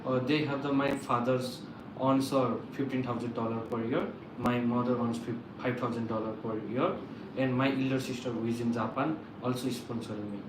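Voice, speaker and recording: Young Nepali-accented man, halting answers. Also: mid-range voice, little pitch movement. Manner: halting, formal answers with restarts. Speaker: student visa applicant. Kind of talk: mock visa interview answers. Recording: echoey room with background noise.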